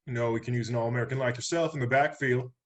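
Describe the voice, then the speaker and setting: A man's voice: medium pitch, fairly even intonation, close to an everyday voice. A man playing a stern, disapproving Army colonel with an authoritative manner in a screenplay table read. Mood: stern, pressing. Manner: brisk, authoritative.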